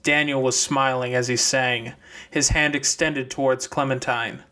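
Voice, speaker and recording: A man's voice: mid-range voice, steady tone, measured pace, pushed and bright. A man narrating scripted fiction as an audiobook reader.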